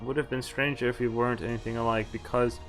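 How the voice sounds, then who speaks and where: Male game streamer, middle-pitched, reads story text aloud with casual sweary commentary, music behind. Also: flat delivery, fast talker, fairly bright tone, rough recording. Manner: casual, sweary, incredulous asides. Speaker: streamer playing a visual novel. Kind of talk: reading game text aloud with live reactions.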